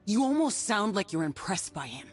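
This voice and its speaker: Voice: mid-range voice, big pitch swings, normal speed. A determined young game hero. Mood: urgent. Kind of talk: video game voice acting.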